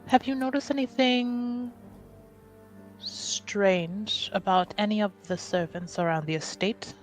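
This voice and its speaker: A woman playing a composed, fondly teasing noblewoman in a tabletop game, speaking in a measured, dry way. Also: mid-range voice, faint music behind, natural voice, poor audio. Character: composed, gently teasing noblewoman, fond elder confidante.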